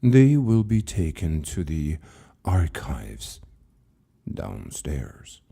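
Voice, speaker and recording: A man voicing a benevolent, unhurried old keeper of a mysterious library in a story reading, speaking in a hushed, measured, gentle way. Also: very deep voice, huge pitch swings, gravelly, husky, pitched below the performer's own voice, exaggerated voice. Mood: calm, solemn. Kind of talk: dramatized short story reading.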